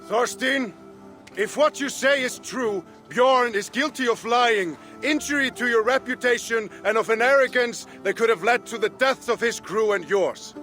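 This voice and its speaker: A commanding Viking jarl, with a bright, forceful voice pitched fairly high. He is stern and measured, passing judgment like a ruler in a dramatic game cutscene. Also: faint music behind. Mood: grave. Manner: measured, authoritative. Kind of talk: dramatic video game acting.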